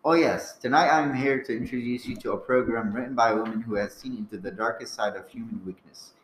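A man's voice: mid-range voice, fairly quick, slight background noise, lightly acted. A man playing a theatrical, ominous horror host who introduces a radio play. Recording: echoey room with music.

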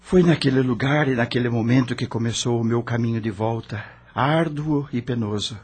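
A man's voice: mid-range voice, normal speed, darkish tone, breathy edge, lightly acted. An actor playing an anguished dead rock star who narrates his own suffering dramatically in a radio drama. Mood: remorseful.

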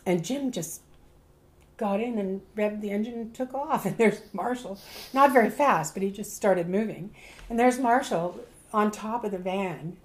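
An older Canadian woman, a veteran stage actor, casually telling rambling, animated stories in an interview and often breaking off to correct herself. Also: medium pitch, unhurried. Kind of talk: casual reminiscing, storytelling.

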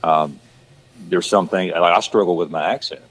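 A man's voice: low voice, moderate pace, low-quality recording. A man from Georgia with a Southern country accent, chatting casually as an actor guest, rambling with lots of "you know". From Georgia, USA. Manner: rambling, repeats words and restarts, says "you know" often. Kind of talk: casual podcast chat.

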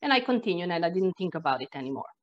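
Croatian-accented lady, energy therapist, low voice, thoughtful storytelling, muffled lo-fi audio. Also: sing-song, rapid-fire. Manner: reflective, earnest, with sighs and restarts. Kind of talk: personal storytelling in conversation. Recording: dull lo-fi sound.

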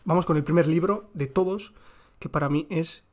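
A man's voice: a little high, moderate pace, darkish tone. Joking, slangy man reviewing books. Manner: joking, slangy, swears casually. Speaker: book reviewer. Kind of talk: casual book recommendations. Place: home with some room echo. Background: construction work next door.

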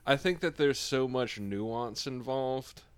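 A man co-hosting a casual podcast chat, deliberate and earnest, choosing his words carefully. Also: medium pitch, smooth, no room sound. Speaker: podcast host.

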